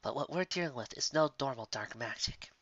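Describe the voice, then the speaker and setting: A regal elder pony princess, as a male narrator plays her, speaking in a measured, solemn and formal way. It is a storybook reading, recorded dry and slightly muffled. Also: mid-range voice, animated, fairly bright tone, no room sound. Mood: grave, apologetic.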